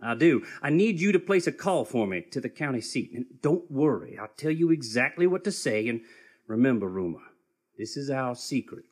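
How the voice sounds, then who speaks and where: Husky, swooping folksy American schemer. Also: male voice, mid-range voice, very animated, normal speed. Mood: conniving. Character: smooth-talking crooked man posing as weary, friendly traveler. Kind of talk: comic live radio theatre acting. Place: live theatre stage with audience.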